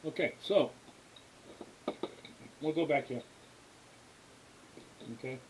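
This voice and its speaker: A man's voice: a little high, a little mellow. American man rambling over noticeable hiss. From New England, US. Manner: halting, rambling, folksy. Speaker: livestream host, radio scanner hobbyist. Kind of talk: casual explanatory livestream chat. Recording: hissy, clearly noisy background.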